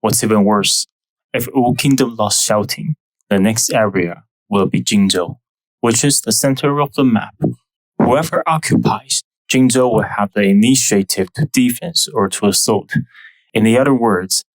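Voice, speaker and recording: Young Taiwanese-accented male host, lowish voice, dry close mic, measured scripted history narration. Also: no echo, poor audio. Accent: Taiwanese Mandarin. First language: Mandarin. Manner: careful, measured explaining with non-native phrasing.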